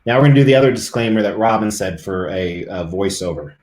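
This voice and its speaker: A man's voice: on the low side, lively intonation, fast talker, darkish tone, echoey room. A middle-aged man live streaming, chatting casually and rambling to his viewers.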